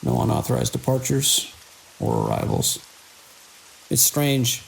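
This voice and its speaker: An actor playing a weary, watchful security chief in an audio drama, speaking in a dry, measured way. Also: male voice, medium pitch, huge pitch swings, vocal fry, full character voice, low-quality recording. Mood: reflective. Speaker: security lead. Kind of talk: audio drama, logs and radio dialogue. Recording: hiss and rain-like noise.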